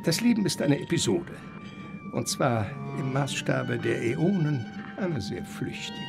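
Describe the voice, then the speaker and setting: An actor in a German radio play playing an eccentric, learned professor who lectures in an emphatic, rhetorical way. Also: male voice, medium pitch, sing-song, a little slow, gravelly. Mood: solemn lecturing. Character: eccentric, learned male professor lecturing grandly on life and nature. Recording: radio play with music underneath.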